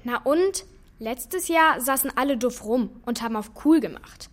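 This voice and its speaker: A woman's voice: sits high, lively intonation, moderate pace. A female performer playing a frank, cheeky eleven-year-old daughter in a German children's radio play. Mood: chatty, frank.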